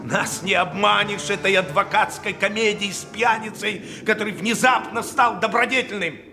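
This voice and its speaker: A man's voice: sits high, normal speed, creaky, over music. An actor playing a pompous, bombastic male orator, declaiming indignant accusations in theatrical audio drama style. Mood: insinuating, outraged. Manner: pompous, declamatory, rhetorical questions. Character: bombastic male orator haranguing city fathers with scandalous accusations.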